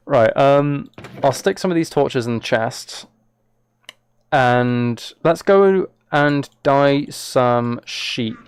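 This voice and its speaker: A man's voice: medium pitch, clean tone. A man chatting casually on a live game stream, thinking aloud as he plays and replying to viewers.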